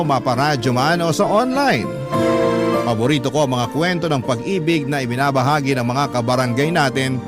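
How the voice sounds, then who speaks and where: Filipino man narrating over loud music. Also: a little high. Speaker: radio story narrator reading a letter. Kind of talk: dramatic first-person scripted narration. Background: loud background music.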